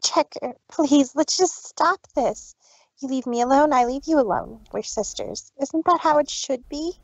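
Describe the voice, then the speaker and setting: A woman's voice: high voice, unhurried, dry recording. Woman acting a wounded little-sister mare, imploring, earnest, story drama, dry close sound, slightly dull. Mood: pleading. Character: pleading, hurt younger-sister mare. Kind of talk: dramatic fan fiction reading.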